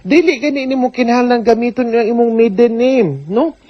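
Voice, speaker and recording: A woman's voice: a little high, a little fast, no room sound. A woman with a Cebuano accent hosting a radio advice show, chatty and conversational, with asides and tag questions. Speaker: radio advice host, attorney. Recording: dull lo-fi radio recording.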